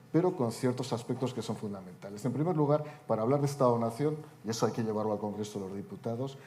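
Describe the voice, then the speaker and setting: A man in his fifties holds forth at a conference panel, mixing speech-like delivery with dry jokes. His voice is mellow and relaxed, heard through a table mic with a faint background hum. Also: lowish voice. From Spain. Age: 50s. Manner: wry, joking asides in an oratorical delivery. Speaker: panel speaker, politician. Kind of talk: panel debate talk.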